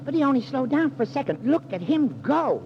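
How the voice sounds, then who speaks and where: Squeaky little sidekick, noisy recording. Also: male voice, sing-song, fairly quick, dull audio, full character voice. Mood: alarmed. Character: little squeaky-voiced male sidekick, excitable and wisecracking. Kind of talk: 1940s radio drama acting. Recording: noisy old 1940s radio recording.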